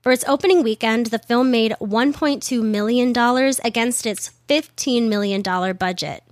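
Chatty lady podcaster, kinda high voice, a bit hoarse, dry close mic. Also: normal speed, dry recording. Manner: chatty, wry asides. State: slightly losing her voice. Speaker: podcast host. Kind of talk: casual storytelling podcast.

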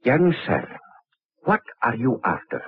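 A pompous, middle-aged professor of anatomy in a vintage radio drama, on a tinny old recording. His husky voice swoops dramatically up and down. He hesitates fussily, then turns imperious and evasive. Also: medium pitch, wildly swooping pitch, measured pace, no echo, heavily acted. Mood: indignant.

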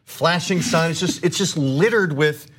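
A man's voice: a little high, normal speed, fairly bright tone, husky. A man chatting as a podcast guest, rambling with enthusiasm and filling his talk with "like" and restarts. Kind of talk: casual chat.

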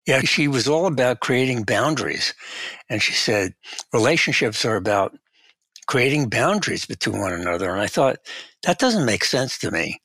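Elderly man, eighties, raspy voice, mid-pitched, interview guest, conversational storytelling, dry close sound. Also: sing-song, normal speed, sharp tone, dry recording. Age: 80s. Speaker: guest, researcher and author.

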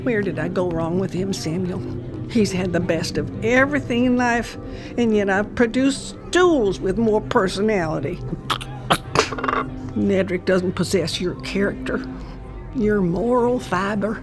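A woman's voice: medium pitch, a little slow, music in the background, lightly acted. A woman playing a cruel, haughty old family matriarch in an audio drama, scolding in formal diction. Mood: scolding, contemptuous. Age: older.

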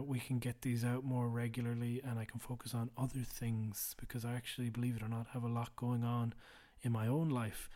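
Tired, low-voiced man, flat delivery. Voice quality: low and quiet. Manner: rambling, self-deprecating, drops to a mutter at times. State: tired, recording late at night. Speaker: podcast host. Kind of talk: casual solo housekeeping chat.

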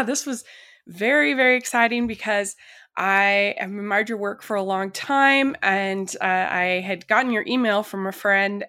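A woman's voice: mid-range voice, bright, forceful tone, clear, resonant tone. A woman hosting a film critics podcast, chatting eagerly with her guest and rambling through her stories with many restarts. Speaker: podcast host. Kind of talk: casual interview chat.

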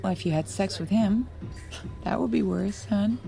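An actress as a creaky-voiced, judgmental bossy friend. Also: female voice, medium pitch, moderate pace, dark tone, soft background music, close to an everyday voice. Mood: disapproving. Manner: scolding, insistent, emphatic. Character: Loren, judgmental, bossy, church-minded woman friend. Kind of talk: naturalistic audio drama acting.

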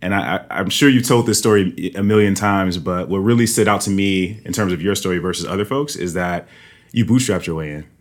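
A man hosting a podcast interview, chatting casually and filling pauses with um, uh and you know. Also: low-pitched. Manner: rambling, many fillers and restarts. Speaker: podcast host.

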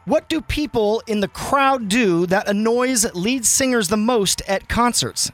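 A man co-hosting a radio show, running a quiz game with energetic banter. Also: high-pitched, measured pace, faint music behind.

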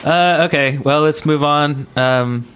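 A man's voice: fairly high pitch, takes it slowly, a bit bright, slight background noise. A man hosting a gaming podcast in casual, rambling, jokey chat. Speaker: podcast host.

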